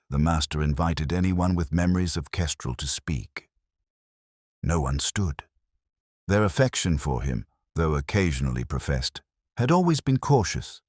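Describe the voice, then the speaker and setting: A man narrating a first-person detective story in a solemn, measured, literary manner with a husky voice. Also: extremely low pitch, dry recording, clean studio sound.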